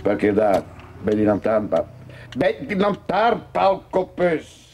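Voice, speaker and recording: A man playing a glib, money-minded private detective boss in a radio play, smooth-talking and mock-humble. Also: mid-range voice, big pitch swings, slow, darkish tone, dull audio, a little hiss or hum. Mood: matter-of-fact.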